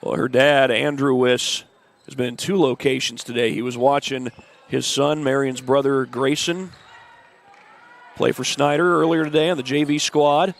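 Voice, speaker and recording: A congested man with the flu giving steady play-by-play of a high school girls' basketball game, adding stats and schedule notes. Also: normal speed, vocal fry. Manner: steady, informative play-by-play with stat and schedule asides. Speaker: play-by-play announcer. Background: faint gym ambience, ball bouncing.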